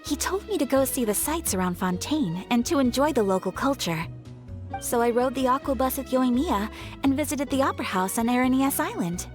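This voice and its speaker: Casting: polite, softly noble young game heroine, slightly high voice, light music underneath. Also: female voice, animated, a little fast. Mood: serene. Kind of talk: video game voice acting.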